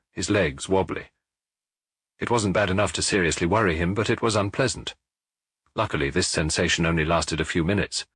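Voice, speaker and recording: A man reading a novel aloud as an audiobook narrator, in an expressive, measured storytelling manner. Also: low-pitched, slightly rough, dry recording, studio quality.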